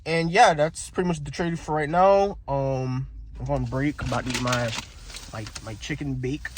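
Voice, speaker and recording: A young man vlogging about his day trading in a relaxed, slangy, rambling way, full of fillers. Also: mid-range voice, normal speed, a little hiss or hum. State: congested with a sore throat. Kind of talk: casual vlog chat.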